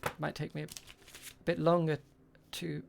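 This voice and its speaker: Creaky-voiced game master, halting delivery. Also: medium pitch, little pitch movement, background noise. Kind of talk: improvised tabletop roleplay, speaking as a shopkeeper.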